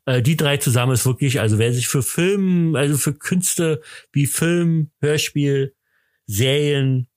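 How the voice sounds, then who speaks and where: A man's voice: fairly high pitch, unhurried, darkish tone, dry recording, studio quality. A man hosting a podcast in casual, chatty talk, rambling enthusiastically with many hesitations and broken-off sentences. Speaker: podcast host.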